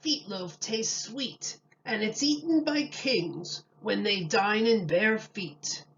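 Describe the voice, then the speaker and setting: A woman's voice: mid-range voice, slow, far from the mic, dull audio, a little hiss or hum. A woman voicing a self-assured storybook cook giving silly advice in matter-of-fact rhyme.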